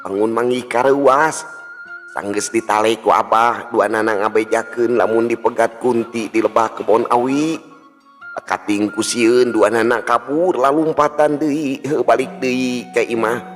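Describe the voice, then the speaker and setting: A man plays a worried old village father in a folk tale, humble and grateful. The Sundanese-accented voice is medium in pitch and carries long reverb, with music behind. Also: steady tone, measured pace, close to an everyday voice. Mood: anxious recounting.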